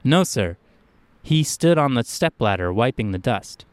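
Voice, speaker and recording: A man's voice: mid-range voice, very animated, unhurried, smooth, no room sound. A man voicing a nervous, defensive employee who protests earnestly and insistently in a spoken short story.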